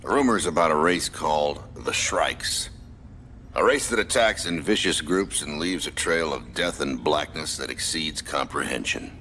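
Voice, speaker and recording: An actor playing a stern military commander in a video game, briefing troops in a clipped, formal, authoritative manner. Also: male voice, very deep voice, measured pace, pushed and bright, slight background noise, exaggerated voice. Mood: stern, grave.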